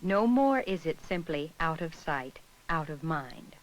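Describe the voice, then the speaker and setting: A woman reading scripted narration for an educational film in a measured, instructional way. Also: low voice, expressive, rough recording.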